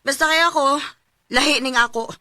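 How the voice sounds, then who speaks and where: A woman's voice: high voice, normal speed, sharp tone, dry recording. A woman acting in a radio drama, carefree and defiant in manner.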